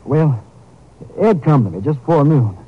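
An actor playing a folksy, hesitant rancher with colloquial country speech in a 1950s radio drama. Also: male voice, on the high side, expressive, normal speed, breathy edge. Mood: sheepish, confessing. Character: folksy rancher, hesitant friend covering for someone. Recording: dull 1950s radio recording.

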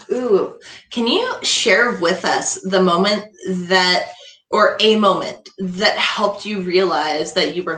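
A woman hosting a casual interview, upbeat and quick to laugh as she asks questions. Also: lowish voice, a bit bright. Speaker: host asking questions. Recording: roomy, echoey recording.

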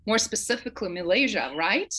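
A woman host with a Croatian accent speaks at a medium pitch. She presents and interviews in an enthusiastic, conversational style. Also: animated, brisk, sharp tone.

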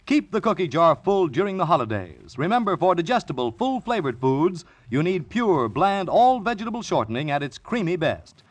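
Man, high-pitched, lively swings, emphatic sales pitch, 1940s radio ad, dry sound. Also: moderate pace, no echo. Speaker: commercial announcer.

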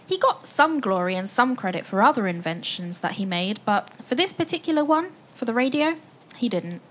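A woman narrating a children's audio play as a storyteller, expressive and full of wonder, addressing listeners directly. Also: on the high side, normal speed, a little hiss or hum, rough recording. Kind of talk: dramatic scripted children's storytelling. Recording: loud music and effects behind.

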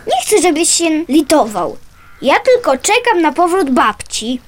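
A woman's voice: sits high, very animated, a little slow. A young female performer playing a stubborn, vulnerable orphaned little girl in a radio drama. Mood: guarded, sad. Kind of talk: Polish radio drama acting.

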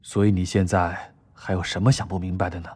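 An actor playing a calm, patient, middle-aged uncle and company boss in an audio drama, advising in a measured way. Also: male voice, sits low, slightly rough. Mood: patient, firm. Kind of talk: modern audio drama acting.

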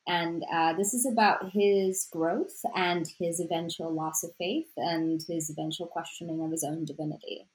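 A woman from Sri Lanka with a slightly low, soft voice talks through her work in an interview. She is reflective and unhurried in thought, padding her sentences with fillers and restarts as she finds her words. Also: fairly even intonation, rough recording. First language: Tamil. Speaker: author. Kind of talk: interview conversation.

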